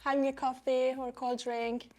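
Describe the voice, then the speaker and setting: A young woman raised in Norway, working as a real estate agent and answering interview questions in a relaxed, easygoing way. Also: sits high, normal speed. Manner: relaxed, short easygoing replies with light laughs.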